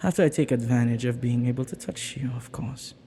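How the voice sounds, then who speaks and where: A man's voice: medium pitch, a little fast, a little hiss or hum, natural voice. A man playing a flirty, ancient banished villain in an intimate audio roleplay, lonely and teasing, with hesitant, murmuring delivery. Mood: playful, flirty. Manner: flirtatious, hesitant, breaking into murmurs and soft chuckles.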